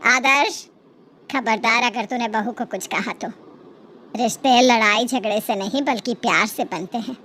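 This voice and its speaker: A woman voicing a patient, forgiving older mother-in-law in a story, motherly and gently firm. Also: fairly high pitch, a little slow, a little hiss or hum, voice pushed down. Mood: firm, calming.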